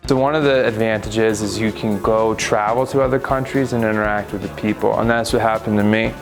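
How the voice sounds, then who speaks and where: A man's voice: fairly low pitch, steady tone, fairly quick. A man in his thirties in a school hallway, casually recounting his experiences in an interview on a lapel mic. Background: background music.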